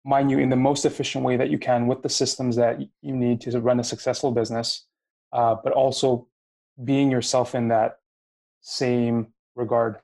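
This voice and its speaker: A man's voice: medium pitch, flat delivery, moderate pace, vocal fry, darkish tone. A man in his late twenties with a slight Filipino accent, hosting a podcast and talking casually, often searching for his words. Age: late 20s. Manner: searching for words, many fillers and restarts. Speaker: podcast host. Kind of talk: casual interview chat.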